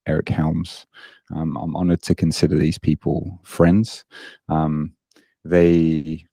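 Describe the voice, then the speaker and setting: A British man with a deep, mellow voice talks in an easy, self-deprecating way and often stops on "um" and "uh". The sound is close and dry, with no room echo. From Britain. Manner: self-deprecating, many ums and uhs. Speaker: online fitness coach, guest. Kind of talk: casual podcast interview.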